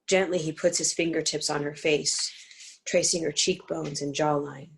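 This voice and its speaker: Female narrator for stage directions: flat delivery, some vocal fry, slight background noise. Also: low-pitched, moderate pace, rough recording. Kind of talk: scripted narration.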